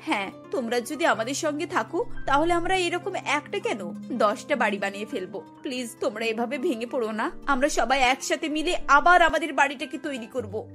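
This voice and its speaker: A woman puts on a young cartoon wife, plucky and consoling, in a very high voice. She pleads earnestly over faint music. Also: brisk, a bit bright. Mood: consoling, determined. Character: five young daughters-in-law, plucky and consoling. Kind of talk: cartoon story dialogue.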